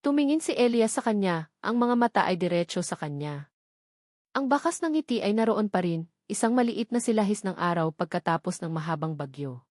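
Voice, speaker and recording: Female narrator, mid-range voice, scripted drama storytelling, deliberate phrasing, dry studio-like sound. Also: mid-range voice, lively intonation, a little mellow, dry recording. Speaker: audiobook narrator.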